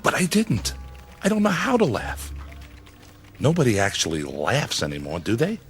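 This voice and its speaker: An actor playing a meek, nervous office worker in a radio drama, hesitant and deferential. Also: male voice, mid-range voice, wildly swooping pitch, moderate pace, soft background music. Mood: innocent. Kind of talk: old-time radio style drama acting.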